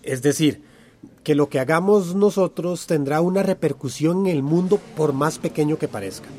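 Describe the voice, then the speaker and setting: A man's voice: fairly high pitch, moderate pace, mellow, natural voice. A man with a Central American accent playing an encouraging community workshop teacher in a radio drama, affirming answers and prompting with questions. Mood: affirming, explaining. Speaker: workshop facilitator. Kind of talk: radio drama acting as a lively classroom lesson.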